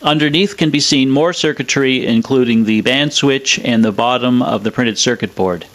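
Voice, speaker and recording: A man's voice: mid-range voice, normal speed, a bit bright. Matter-of-fact man narrating a technical explainer. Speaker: presenter of an electronics review.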